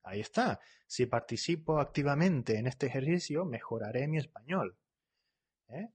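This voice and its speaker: A man leads a live online language lesson, reading viewers' comments aloud and correcting them as they come in. His voice is husky and sits in a middle pitch. He talks fast and keeps checking in with short tags like "¿vale?". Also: expressive, a little mellow. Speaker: Spanish teacher.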